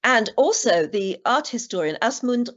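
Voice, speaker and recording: Lively British woman interviewer. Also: a little high, moderate pace. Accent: British English. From Britain. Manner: warm prompting questions, lively intonation. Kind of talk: interview questions. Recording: dull, slightly muffled sound.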